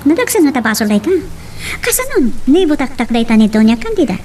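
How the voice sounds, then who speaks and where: A woman's voice: high-pitched, sing-song, fast, warm tone, slight background noise. An actress with an Ilocano accent playing an earnest, insistent young policewoman in a radio drama. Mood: accusing, insistent.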